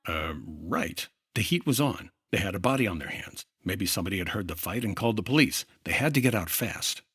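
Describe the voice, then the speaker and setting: A sharp, wry male investigator from a 1950s-style radio detective serial. His husky voice sits close and dry, with lively swings in pitch as he reasons things out analytically. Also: a little fast, dry recording, exaggerated voice. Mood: reasoning. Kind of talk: old-time radio detective acting.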